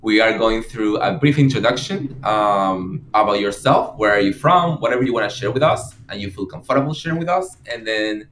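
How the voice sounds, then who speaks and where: A man hosting an orientation call, welcoming newcomers and prompting introductions slowly. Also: lowish voice, expressive, a bit bright, echoey room, a little hiss or hum. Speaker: call host.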